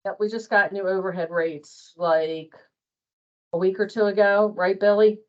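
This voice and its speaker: Casting: woman as an office trainer, informal explaining style, mid-pitched voice, muffled low-fidelity meeting sound. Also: fairly even intonation, moderate pace. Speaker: presenter on billing and invoicing at a staff training.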